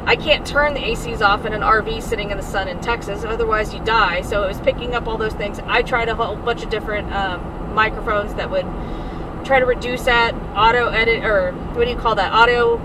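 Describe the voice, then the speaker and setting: A chatty, self-mocking woman co-hosts a casual podcast from the seat of a moving pickup truck. She leans toward a cheap dash cam that hears her from a distance. Heavy road and engine rumble runs under everything she says. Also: steady tone, brisk. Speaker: podcast co-host. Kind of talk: casual chat. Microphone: dash cam leaned into from the seat.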